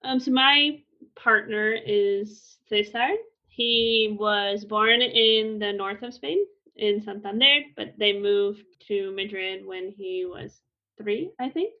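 A woman in her thirties who teaches Spanish, explaining things in a relaxed, conversational way with frequent fillers. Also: medium pitch, takes it slowly. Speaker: Spanish instructor. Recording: slightly muffled lo-fi recording.

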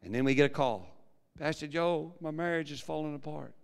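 A pastor preaching a sermon with a big, projecting voice that swings from quiet low musing to loud fervent preaching. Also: male voice, fairly quick, gravelly, smooth. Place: large church hall. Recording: long hall reverb.

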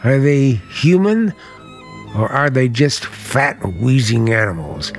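An actor playing a charming but menacing uncle in a radio play, suave and deliberate with a bitter, contemptuous edge. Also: male voice, medium pitch, very animated, soft background music. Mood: bitter contempt. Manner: suave, deliberate, turning to cold disdain. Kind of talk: dramatic radio play acting.

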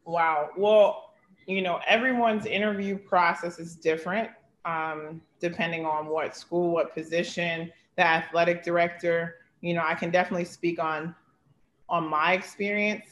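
A woman's voice: on the low side, steady tone, normal speed, fairly bright tone, echoey room. A woman in her thirties, a basketball coach, giving earnest, heartfelt interview answers full of "like" and "you know". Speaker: basketball head coach, guest.

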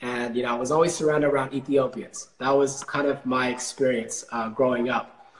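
A young man from Texas hosting a casual interview, relaxed and hesitant, with many fillers. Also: mid-range voice, moderate pace, roomy sound, poor audio. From Dallas, Texas. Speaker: podcast host.

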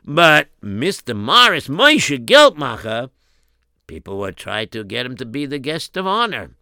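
A man's voice: mid-range voice, big pitch swings, a little slow, pushed and bright, clear, resonant tone, dry recording, studio quality. A man telling a comic story as narrator, in a folksy, exclamatory way.

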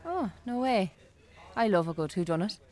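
An actress with an Irish accent playing a friendly, composed, bookish shop worker in a naturalistic radio drama. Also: female voice, medium pitch, big pitch swings, normal speed, a little mellow, close to an everyday voice.

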